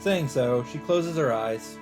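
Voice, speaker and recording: Man, medium pitch, dramatic story narration, expressive, deliberate pauses, let's play reading, soft background music. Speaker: let's play host reading a visual novel aloud. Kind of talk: dramatic narration read aloud from on-screen text.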